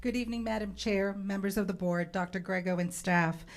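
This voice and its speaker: A woman's voice: mid-range voice, fairly even intonation. A woman working as a public information officer, politely introducing guests and organizations at a school board meeting. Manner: polite, measured, ceremonial.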